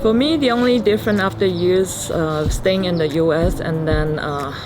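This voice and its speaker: A woman's voice: fairly low pitch, normal speed. A woman with a Malaysian accent giving short, simple interview answers. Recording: background music throughout.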